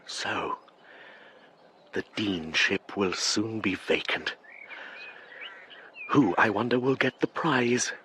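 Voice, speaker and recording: Greedy plotting english cleric, self-important, crisp low voice, radio play monologue. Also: male voice, lively intonation, slow, long pauses, bright, forceful tone, slight background noise, exaggerated voice. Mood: covetous, scheming. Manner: calculating, savouring, self-important. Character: ambitious, scheming, covetous clergyman.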